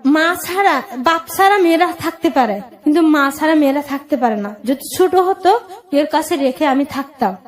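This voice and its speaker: A woman in her thirties with a Bangladeshi accent gives a personal account. Her voice is clearly high in pitch. She talks in a plain, colloquial way with a plaintive manner. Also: expressive, normal speed. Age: 30s. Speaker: guest telling her life story.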